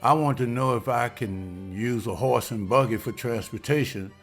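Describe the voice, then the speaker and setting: An earnest, respectful young husband, as a man reads him who speaks with polite deference at a mid pitch. Faint music plays quietly behind him. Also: moderate pace, close to an everyday voice. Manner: earnest, respectful, says ma'am. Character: William, a hopeful, polite young husband and waiter. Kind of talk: amateur table read.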